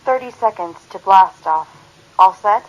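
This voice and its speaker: A woman's voice: medium pitch, expressive, measured pace, dull audio, close to an everyday voice. A woman playing a firm starship commander in a radio play, clipped and official. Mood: brisk. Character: firm female starship commander, brisk and authoritative. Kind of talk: dramatic radio play acting.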